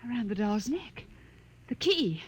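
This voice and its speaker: An actress playing a gentle, protective mother who placates her husband in a 1950s radio drama. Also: mid-range voice, very animated, unhurried, slightly rough, heavily acted. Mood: worried. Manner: placating, coaxing, earnest. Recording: noisy 1950s radio recording.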